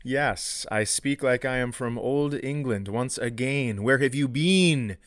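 A man hosts a comedy podcast with playful, joking banter. His mid-pitched voice sounds dry and close, with no room around it, and the talk is casual. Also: measured pace, no echo.

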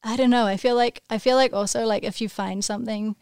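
A young woman from New Zealand with a New Zealand accent, chatting casually as a podcast guest in a laid-back way, full of "like" and quick laughs. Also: fairly high pitch, fairly even intonation, a little mellow, clean tone, no room sound, studio quality. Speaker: podcast guest, pro snowboarder. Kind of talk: casual chat.

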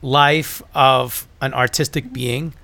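A man's voice: medium pitch, fairly even intonation, slow pace, bright, forceful tone. A man hosting an interview, enthusiastic and effusive. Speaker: podcast host. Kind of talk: casual interview chat.

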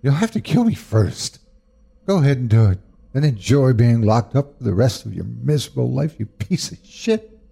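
A fierce mother stands her ground, as a male narrator raises his voice to play her. Her tone sits well above his own deep register and sounds strained and husky at the edges. She swears at her husband but softens for her boys. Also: big pitch swings, normal speed, heavily acted. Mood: defiant. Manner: defiant, cursing, tender to her sons. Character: fierce protective mother, middle-aged woman.

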